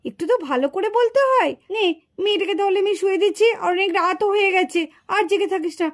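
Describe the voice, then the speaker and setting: A woman voicing a soothing, indulgent village aunt in a children's puppet cartoon, coaxing and gently chiding as she talks. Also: very high-pitched, fast talker.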